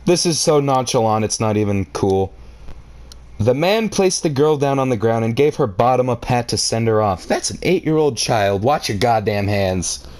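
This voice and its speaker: Animated, sarcastic man reading a story. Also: mid-range voice, normal speed. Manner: exasperated, sarcastic, theatrical. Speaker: comedic story reader. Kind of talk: reading a horror story aloud with ranting commentary.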